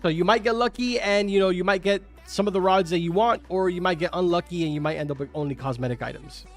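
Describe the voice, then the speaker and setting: Young male streamer, Spanish as his first language, slightly high voice, loose and chatty, soft music underneath. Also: quick pace. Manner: chatty, filler-heavy, answers chat questions. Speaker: game streamer. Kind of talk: casual live-stream chat with viewers. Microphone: studio microphone. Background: faint game music.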